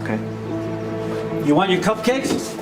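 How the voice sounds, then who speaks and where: An actor playing a hostile, bigoted bakery boss in a moralistic web drama, confrontational and barking orders. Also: male voice, high voice, very animated, measured pace, loud music behind the voice. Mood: hostile, bullying.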